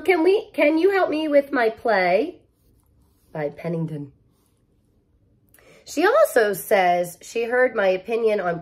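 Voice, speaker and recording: A woman in her thirties reads a picture book to children at an unhurried pace. Her voice sits high and swings widely in pitch. She is expressive and slips into chatty asides aimed right at her young listeners. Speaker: teacher reading aloud. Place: living room at home, indoors.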